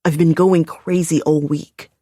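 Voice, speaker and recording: Brief: a woman playing a worried, remorseful man, slightly lowered voice, earnest pleading delivery. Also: steady tone, a little slow, warm tone, no room sound, clean studio sound.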